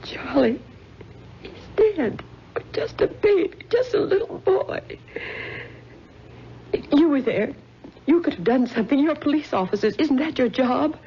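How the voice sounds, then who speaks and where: A distraught middle-aged mother speaks in a halting, emotional voice with a gravelly creak. Her delivery is defensive, in the manner of 1950s radio melodrama. The recording is old and dull, with faint hiss. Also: high-pitched, expressive. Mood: grief-stricken, then accusing, upset.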